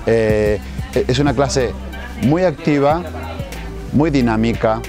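Male fitness instructor, forties, informal presenter to camera, recorded outdoors with clear background music. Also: medium pitch, slow pace. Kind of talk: informal promotional talk to camera. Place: outdoors at a seaside marina. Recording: music playing behind the voice.

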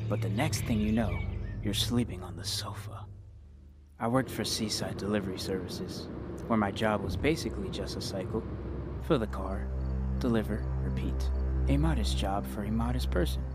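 A modest, dissatisfied delivery driver played by a man narrates his own film story. His voice sits low, and loud music plays beneath it. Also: natural voice. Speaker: actor narrating a short film. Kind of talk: first-person film narration.

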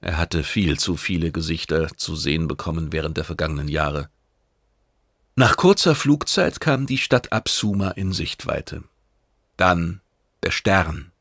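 A male narrator reads a science fiction novel in a very low voice with a gravelly edge. The sound is dry and close, as in a studio audiobook. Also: animated, measured pace, fairly bright tone, dry recording.

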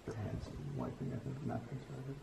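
A man's voice: mid-range voice, fairly even intonation, fast, creaky, warm tone. A young man, talking casually in short, matter-of-fact remarks while exploring a building. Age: young adult. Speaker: urban exploring companion. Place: indoors, empty dark school rooms and hallways. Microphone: picked up by companion's handheld camera. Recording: very noisy, echoey camera audio.

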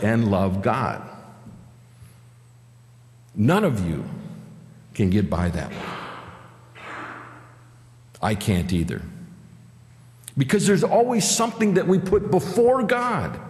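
Man, 50s, Upper Midwest accent, pastor, conversational sermon, animated pitch, church reverb. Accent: Upper Midwest American. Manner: conversational, asks the congregation questions. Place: church sanctuary, at a pulpit. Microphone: pulpit microphone.

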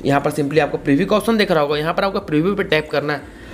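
A man's voice: a little high, rapid-fire, slight background noise. A man in his twenties with an Indian accent, hosting a casual step-by-step tutorial in a repetitive, instructional way. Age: 20s. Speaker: YouTube tutorial host.

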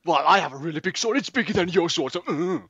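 Man putting on a high, whiny boastful sword fighter. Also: lively intonation, fast, bright, forceful tone, breathy edge, no room sound, dull audio, heavily acted. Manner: taunting, exclaiming, complaining. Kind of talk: improvised comedic game dub.

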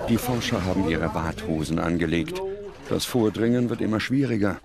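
A man reading scripted documentary narration in a measured, dramatic manner. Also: low voice, expressive, creaky.

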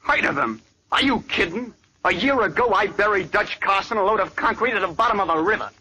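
Field: an actor as a husky wisecracking henchman. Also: high-pitched, expressive, brisk, bright, forceful tone, exaggerated voice, low-quality recording. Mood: sneering. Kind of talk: 1940s radio acting.

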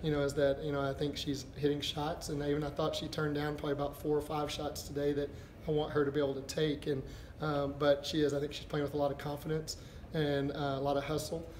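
A middle-aged man, a basketball head coach, answering postgame press questions in a halting, thinking-aloud way. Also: a little high, monotone, a little mellow. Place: indoors before a backdrop, a step from camera. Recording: echoey room with background noise.

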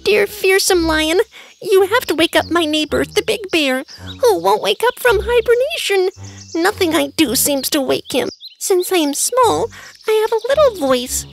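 A woman voicing a small, timid but brave male mouse in a cartoon, with a squeaky high voice. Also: animated, moderate pace, creaky, soft background music, heavily acted. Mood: pleading. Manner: timid, pleading. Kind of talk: cartoon voice acting.

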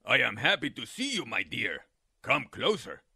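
A man voicing a sly, hungry coyote villain from a storybook, with slow, gravelly, menacing delivery. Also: medium pitch, very animated, pushed and bright, no room sound, studio quality, pitched below the performer's own voice, exaggerated voice. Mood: falsely sweet.

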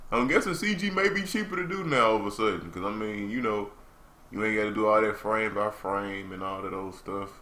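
A young man reacts casually to an anime in loose slang, joking as he goes. His voice is bright and forceful, and his pitch swings widely. It is recorded in a small, echoing room. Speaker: reaction video host. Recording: roomy, echoing home recording.

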